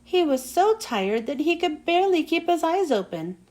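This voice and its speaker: A woman reading a children's storybook aloud as an expressive narrator. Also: very high voice, normal speed. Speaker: storybook reader.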